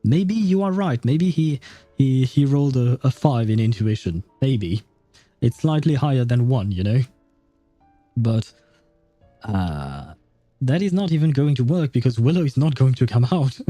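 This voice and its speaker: A man's voice: medium pitch, animated, unhurried, mellow, no room sound. A man reading a game story aloud and adding casual, sardonic commentary as a streamer. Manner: sardonic asides. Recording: background music behind voice.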